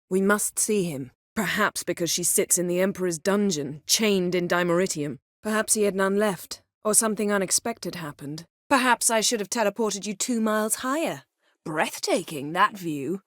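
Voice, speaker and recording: A woman voicing a proud, sharp-tongued sorceress in a video game, speaking in a cool, measured, sardonic and commanding manner. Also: mid-range voice, no room sound, clean studio sound, close to an everyday voice. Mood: dry, sardonic.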